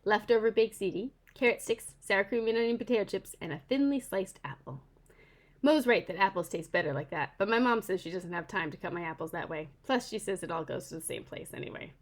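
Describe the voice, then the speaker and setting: A woman author reading her first-person children's novel aloud in an expressive storytelling style. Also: medium pitch, brisk. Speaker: author reading own book.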